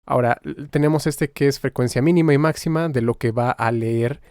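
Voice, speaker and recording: A man giving an informal, explanatory software tutorial, pausing now and then as he demonstrates. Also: mid-range voice, no room sound, studio quality. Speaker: music software tutorial instructor.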